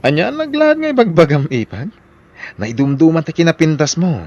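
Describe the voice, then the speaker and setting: An obliging, flirtatious married man with an animated, swinging delivery and an Ilocano Filipino accent. It is audio drama acting over faint background noise. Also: on the high side, a little fast, highs cut off. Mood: flirtatious, teasing. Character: Jeric, obliging, flirtatious married brother-in-law.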